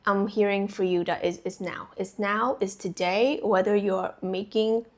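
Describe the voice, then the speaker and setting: Young woman from vietnam doing a chill spiritual reading to camera, lots of "like" and "you know", mid pitch. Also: fairly even intonation, a little slow. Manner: chatty, many fillers and restarts, laughs easily. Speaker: spiritual coach. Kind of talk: casual intuitive reading to camera.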